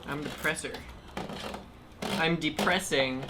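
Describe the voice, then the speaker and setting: Low voiced lady, native low german speaker, casual stream cohost chat, noisy background. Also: slow pace. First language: Plautdietsch Low German. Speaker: livestream co-host. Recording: noticeable background noise.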